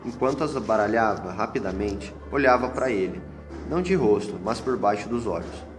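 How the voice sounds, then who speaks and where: Low-voiced Brazilian man narrating in echoey hall. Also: expressive, normal speed. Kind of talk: scripted radio drama narration. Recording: echoey hall, long reverb, music bed. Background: music.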